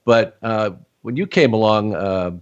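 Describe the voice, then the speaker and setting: A man hosting a casual interview, phrasing his questions in a halting, thoughtful way. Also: lowish voice, clear, resonant tone. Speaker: interview show host. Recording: slightly dull, lo-fi call audio.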